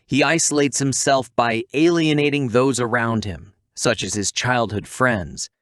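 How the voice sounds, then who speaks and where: A man's voice: mid-range voice, fairly bright tone, no echo, clean studio sound. A man narrating a humorous scripted story recap in a playful, theatrical way, addressing listeners directly.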